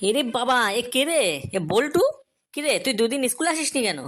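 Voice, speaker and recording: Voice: high voice, expressive, a little fast, a bit bright. An actor playing an irritable, scolding schoolteacher in a comedy cartoon, questioning and threatening the pupils in an exasperated way. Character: irritable, scolding school teacher, addressed as sir.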